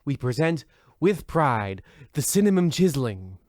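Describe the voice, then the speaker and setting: A slow-talking, formal radio mystery host played by a man, theatrical yet weary and a little disgruntled. The voice sits at a medium pitch in a dry, close recording. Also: sing-song, a little mellow, dry recording. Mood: solemn, wry. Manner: formal, theatrical, dejected asides. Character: weary, wistful, disgruntled male host of a spooky radio show. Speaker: narrator and host of a radio anthology show. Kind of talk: old-time radio mystery host, scripted comedy.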